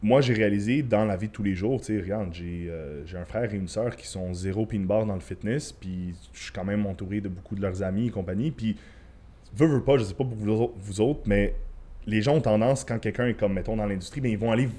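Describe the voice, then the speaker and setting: Young Quebec man, lowish voice, casual podcast guest, swears, code-switches into English. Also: fairly quick, mellow. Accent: Quebec French. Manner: loose, swearing, mixes English phrases. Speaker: podcast guest, former bodybuilder and coach.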